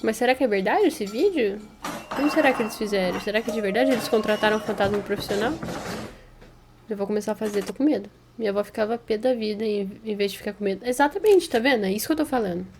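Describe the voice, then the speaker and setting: A woman's voice: medium pitch, quick pace, darkish tone, slight background noise. A Brazilian woman chatting casually and playfully as she reacts to a horror video, joking and exaggerating. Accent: Brazilian Portuguese.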